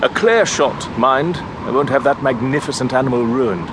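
An actor playing an arrogant wealthy gentleman who speaks slowly and grandly, commanding his servants. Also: medium pitch, very noisy, lightly acted. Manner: slow, imperious, grandly savoring. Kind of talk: audio horror drama.

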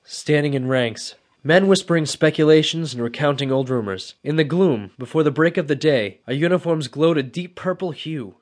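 An actor playing a restless, brooding young Civil War recruit in a radio drama, earnest in manner, with an American accent. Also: male voice, fairly high pitch, normal speed, few pauses, lightly acted. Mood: reflective. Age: young adult. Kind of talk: radio drama acting and first-person narration.

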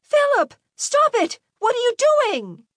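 A woman's voice: high voice, expressive, normal speed, no room sound, studio quality, voice pushed up. A woman voicing a loving, emotional mother, tender and anxious for her child, in an audiobook story. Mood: distressed. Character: loving, distressed mother soothing and pleading.

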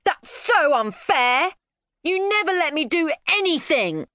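A woman voicing an excitable, dramatic young girl in a children's story, exclaiming and drawing out words. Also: on the high side, no echo, clean studio sound, pitched above the performer's own voice. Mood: outraged. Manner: exclaiming, drawn-out, melodramatic.

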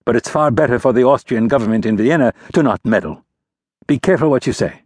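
A man's voice: medium pitch, fast, a little mellow, slightly rough, no room sound, studio quality, close to an everyday voice. A man voicing a scheming male ambassador prince of about forty, with a mocking, careless, lofty manner.

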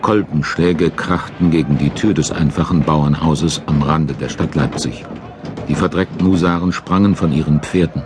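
A man's voice: very deep voice, fairly even intonation, moderate pace. A man giving dramatic, scripted audiobook narration as the storyteller of a historical radio play. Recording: narration over battle sound effects.

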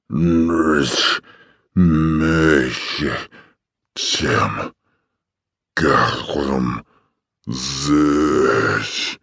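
Mocking male zombie, guttural, husky rasp, rock-bottom pitch, dragging delivery, audiobook character. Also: dry recording, studio quality, heavily acted. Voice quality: gravelly, guttural. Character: crude, mocking male zombie who growls gibberish.

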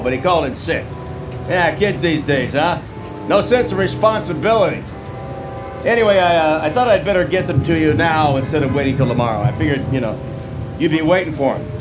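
A male actor plays a well-meaning, earnest grocer in a radio drama, with a fairly high man's voice. He chatters hesitantly and stumbles over his words. The sound is slightly dull, with music clearly behind him. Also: lightly acted. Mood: chatty, friendly. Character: friendly, bumbling male neighborhood grocer, concerned and earnest.

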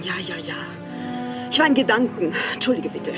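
A woman's voice: medium pitch, expressive, moderate pace, over music, lightly acted. An actress playing a proud, impatient female star in an audio drama, dismissive and exasperated. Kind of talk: German audio drama acting.

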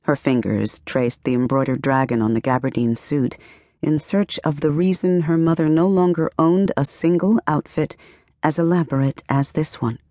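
A woman narrating a literary novel as an audiobook, with measured, expressive storytelling and careful phrasing. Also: low-pitched, dry recording, studio quality. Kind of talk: scripted literary fiction narration.

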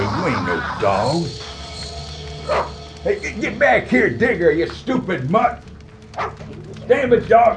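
An actor playing a cranky, folksy old hermit with a rural American accent in an audio drama, grumbling and cussing. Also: male voice, on the high side, a bit bright, slight background noise. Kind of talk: full-cast audio drama acting.

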